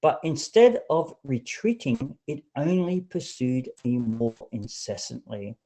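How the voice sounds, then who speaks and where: Expressive male audiobook narrator, mellow voice. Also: mid-range voice, slow pace. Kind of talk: scripted story narration.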